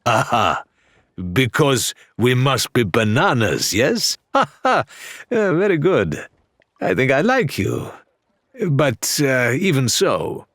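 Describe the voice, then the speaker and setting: A man voicing a suave, courteous, teasing male pirate with unhurried, theatrical phrasing in an audiobook. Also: mid-range voice, sing-song, fairly bright tone, dry recording, clean studio sound, pitched above the performer's own voice, heavily acted. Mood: amused. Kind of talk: audiobook character acting.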